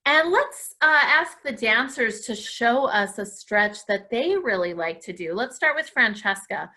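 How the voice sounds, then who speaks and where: A woman's voice: a little high, lively intonation, unhurried, sharp tone. A woman leading a live stretching lesson for viewers at home in an encouraging way, with frequent praise. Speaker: dance class host leading children's stretches.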